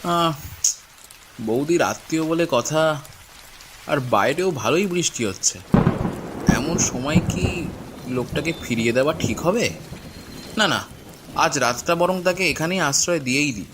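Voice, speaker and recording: An actor voicing a bossy but kind-hearted village man in a cartoon, brisk and expressive. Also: fairly high pitch. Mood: pondering. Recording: faint rain noise behind voice.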